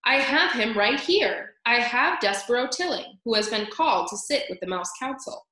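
A woman's voice: mid-range voice, animated, fairly quick, a bit bright, echoey room, natural voice. A woman voicing a bossy male mouse, an exasperated older brother who scolds bluntly and insistently in a storybook. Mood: loud, officious.

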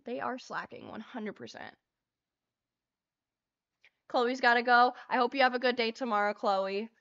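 A young woman hosting a casual livestream from her bedroom, chatting freely and swearing as she paints, with a voice that is getting hoarse. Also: a little high, expressive, measured pace, a bit bright, muffled recording. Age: young adult. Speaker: livestream host. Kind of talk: casual chat while painting cards. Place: small bedroom. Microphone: lapel mic.

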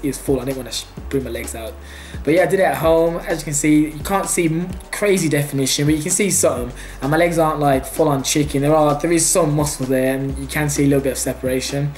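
A man's voice: on the high side, a little mellow. A young man talking loosely through a gym workout as a fitness vlogger, full of fillers, restarts and the odd swear. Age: young adult. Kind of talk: casual voice-over commentary on a workout.

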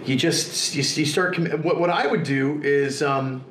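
A man's voice: fairly high pitch, normal speed, fairly bright tone, echoey room, a little hiss or hum. A man in his forties telling stories in casual, profane conversation, often acting out quoted voices, recorded in a living room. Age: 40s. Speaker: interview guest, artist. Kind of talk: casual storytelling. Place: living room with leather couch.

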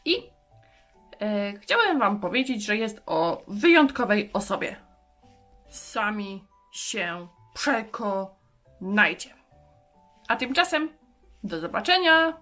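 Lady doing a friendly firefighter puppet guy, super slow and emphatic for kids, music behind. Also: medium pitch, very animated, a bit bright. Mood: friendly, welcoming. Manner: emphatic, deliberate, addressing children. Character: friendly male firefighter hand puppet teaching children.

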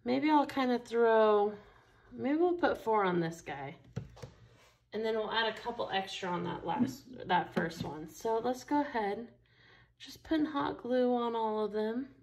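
An enthusiastic woman walks through a craft project at her table, her voice a touch higher than most and roughened with a gravelly fry. She chats casually and warmly about each step as she works. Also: unhurried. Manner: chatty and enthusiastic, talks while working with her hands. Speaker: craft store instructor. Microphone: overhead camera, only her hands seen.

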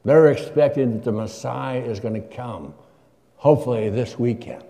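A man's voice: medium pitch, moderate pace, vocal fry, warm tone. An older man preaching a sermon from a church pulpit, asking his congregation questions in a conversational way. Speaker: guest preacher. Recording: long church reverb.

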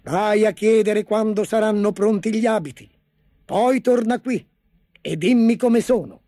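A man voicing a vain, spoiled emperor who shouts demands petulantly and gets excited easily. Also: high-pitched, unhurried, no room sound, clean studio sound, pitched above the performer's own voice. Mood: scheming.

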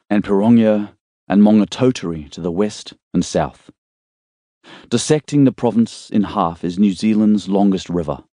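A man reading a thriller novel as an audiobook narrator, in a measured, suspenseful storytelling way. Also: on the low side, warm tone, no echo, clean studio sound.

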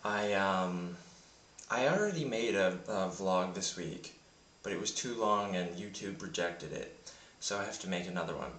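A man chatting casually into a handheld camera in a rambling, self-deprecating way. His voice is deep and sounds a bit sick in the morning. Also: moderate pace, a bit bright, echoey room. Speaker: vlogger. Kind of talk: casual vlog monologue. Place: home in Berlin. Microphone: handheld camera mic.

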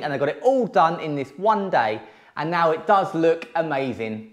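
Upbeat English man touring his renovation. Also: high-pitched. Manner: enthusiastic, upbeat. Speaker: home renovation vlogger. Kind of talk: casual walkthrough narration. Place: empty room in a house under renovation.

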